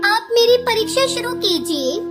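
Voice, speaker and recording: A woman's voice: very high voice, animated, moderate pace, loud music behind the voice. A woman voicing a small, devoted mother sparrow in a children's cartoon, sweet, simple and earnest. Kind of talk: Hindi children's cartoon dubbing.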